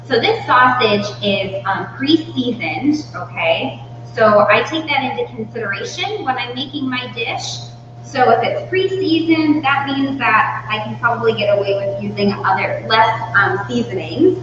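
A woman's voice: fairly high pitch, measured pace. A woman in her thirties giving an informal, step-by-step cooking demonstration as the host of a live class. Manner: informal, instructional, explains step by step. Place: indoor demonstration kitchen. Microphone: far from the speaker. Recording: distant mic, very echoey room, muffled, hum.

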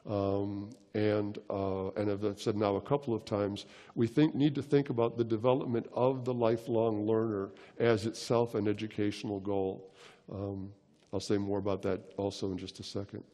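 An older man giving a conference keynote on stage into a headset mic, lecturing in a measured way with frequent ums and uhs. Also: lowish voice, fairly even intonation, warm tone, in a large hall. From United States. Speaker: keynote speaker. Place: large conference hall stage.

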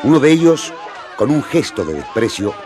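A man's voice: medium pitch, sing-song, normal speed. A man narrating a radio drama as a vivid, dramatic storyteller. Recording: crowd noise behind.

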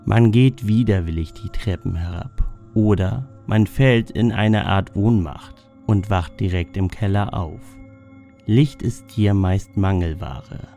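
A man reading scripted explanatory narration in a measured, solemn manner. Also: fairly low pitch, gravelly, warm tone. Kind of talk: scripted narration of a dream-symbol lexicon. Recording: music bed under the voice. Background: background music.

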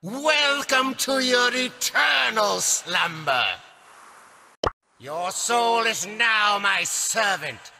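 A gravelly, melodramatic demon lord. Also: male voice, expressive, extremely slow, pushed and bright, in a large hall, a little hiss or hum, exaggerated voice. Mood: menacing. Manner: booming, melodramatic. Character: grandiose male vampire demon lord, theatrical. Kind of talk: game voice line.

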